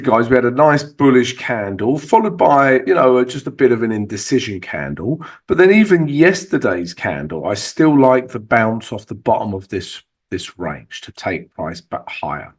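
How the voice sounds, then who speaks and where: A man, husky as he recovers, casually walking viewers through trading charts with lots of fillers and asides to the viewers. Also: medium pitch. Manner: chatty, fillers, addresses viewers as guys. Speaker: forex trading channel host.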